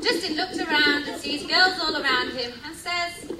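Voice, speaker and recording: A woman narrating a scripted radio play live, reading out sound-effect cues in a lively way. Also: high voice, moderate pace. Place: cruise ship venue before a live audience. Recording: echoey distant recording, loud crowd noise.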